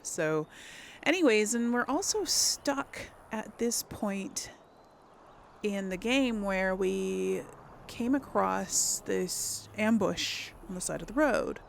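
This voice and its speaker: A woman with plenty of vocal fry talks through a game as its host in an unhurried way. She rambles casually, thinking aloud and correcting herself as she goes. There is faint noise behind her voice. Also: medium pitch, expressive. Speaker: gaming video host. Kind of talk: casual let's play commentary.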